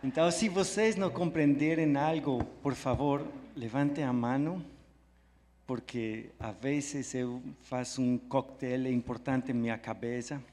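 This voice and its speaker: A man's voice: mid-range voice, fairly even intonation, a little slow, a little mellow, slight background noise. A Belgian man, a native French speaker, giving a friendly, enthusiastic conference talk in Portuguese mixed with Spanish. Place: large hall at a live event. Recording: echoey hall sound.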